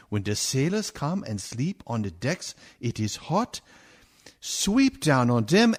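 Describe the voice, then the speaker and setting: A grave, commanding old vampire-hunting doctor, acted by a man in a radio play. He speaks slowly and emphatically, with rhetorical weight and widely swinging pitch. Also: heavily acted. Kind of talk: dramatic radio play acting.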